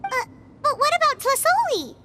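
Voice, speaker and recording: Tiny floating fairy companion for a video game, very high and animated, childlike, music underneath. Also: female voice, moderate pace, very noisy. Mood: relieved, awed. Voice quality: squeaky, childlike. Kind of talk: English video game voice acting. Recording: game dialogue over prominent music.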